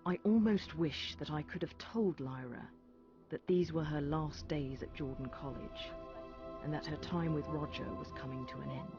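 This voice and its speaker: Solemn storytelling actor as male narrator under loud music. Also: fairly high pitch, highs cut off, close to an everyday voice. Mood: solemn, wistful. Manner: measured, literary, solemn.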